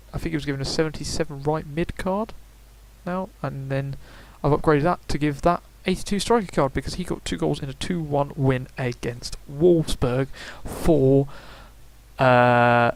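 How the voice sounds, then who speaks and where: A young man talks through his picks in a loose, rambling way. He fills gaps with "uh" and "um" and stops to correct himself. His voice sits at a middle pitch. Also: a little slow, slight background noise, low-quality recording. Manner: chatty, rambling, frequent fillers and self-corrections. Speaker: gaming YouTuber. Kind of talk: casual predictions commentary.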